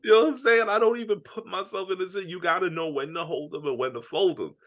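A man's voice: high voice, fast talker, fairly bright tone. A man in his thirties co-hosting a podcast, chatting casually, laid-back and self-mocking, laughing a lot. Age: 30s. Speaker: podcast co-host. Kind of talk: casual chat.

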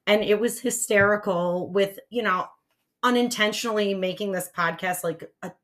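A woman in her forties with a raspy voice, co-hosting a podcast in spunky, excitable casual chat. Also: mid-range voice, normal speed. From United States. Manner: spunky, excitable, lots of fillers. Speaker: podcast co-host.

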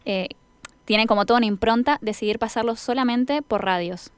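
A woman's voice: on the high side, fairly quick, smooth, no room sound. An Argentine woman co-hosting a radio show, asking questions and commenting in a conversational style. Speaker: radio co-host.

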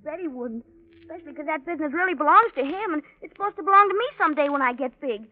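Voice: sits high, animated, racing. An actor playing an earnest young boy in a 1940s radio drama. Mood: hurt, defiant. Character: earnest young boy worried about his missing father. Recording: thin, phone-like vintage radio recording.

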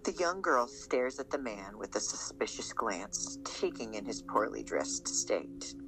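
A man narrates an audio drama in a scripted storytelling style. His voice sits at a medium pitch, and music plays clearly behind him. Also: animated, measured pace, poor audio. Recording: music under the voice.

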